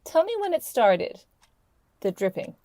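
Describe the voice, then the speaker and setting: An actress in a radio play playing a calm, professional therapist, measured, formal and reassuring as she gently probes. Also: on the high side, dry recording. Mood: composed, reassuring.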